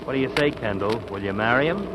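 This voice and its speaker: An actor playing a bridegroom's nervy, pushy, scheming pal in 1940s radio comedy style. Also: male voice, medium pitch, lively intonation, fast talker, lightly acted. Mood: urgent scheming. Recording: old radio broadcast with loud engine noise.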